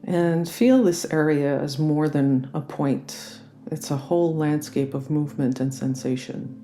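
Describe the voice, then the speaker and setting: Meditation guide for guided relaxation: soothing, unhurried, soft mellow tone, faint music underneath. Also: mid-range voice. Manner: gentle, soothing, unhurried instruction. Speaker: yoga nidra meditation guide.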